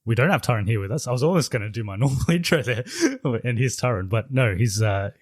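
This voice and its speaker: A man hosting a casual podcast, leading the talk in a relaxed, steady way on a studio mic. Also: mid-range voice, fast talker, slightly rough, no room sound. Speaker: podcast host.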